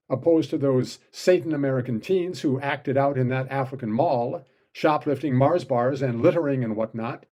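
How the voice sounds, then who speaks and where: A man's voice: mid-range voice, dark tone. A man delivering an opinion commentary monologue, full of sardonic, sarcastic asides.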